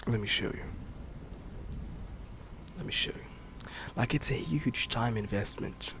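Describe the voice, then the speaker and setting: A man in his late twenties, a streamer rambling in a soft-spoken, candid way, swearing casually and slipping into whispers. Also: medium pitch, slow pace, background noise. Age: 20s. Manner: candid, swears casually, many fillers and restarts; drifts between soft speech and whisper.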